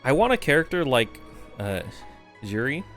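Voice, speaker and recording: A young man streams a video game and reacts off the cuff in a mid-pitched voice. He is casual, slangy and jokey. Faint music sits behind him. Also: sing-song, normal speed, a bit bright. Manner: joking, slangy. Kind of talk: casual live reaction commentary over a game.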